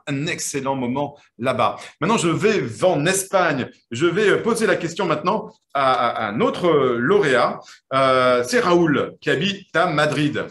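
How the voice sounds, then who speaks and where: A man's voice: mid-range voice, fairly bright tone, roomy sound, a little hiss or hum. A man hosting an online quiz final, interviewing the winners in a friendly, hesitant way with many fillers. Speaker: host.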